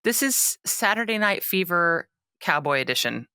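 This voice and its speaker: A woman co-hosting a podcast in casual comedic chat, lively and teasing, asking playful questions. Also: on the low side, smooth, no echo, studio quality. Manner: lively, teasing, quick to laugh along. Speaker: podcast co-host.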